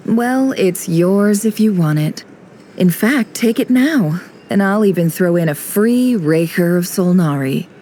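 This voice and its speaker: Mellow, composed, low-voiced woman. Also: expressive, unhurried, a little hiss or hum. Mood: knowing. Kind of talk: video game voice acting.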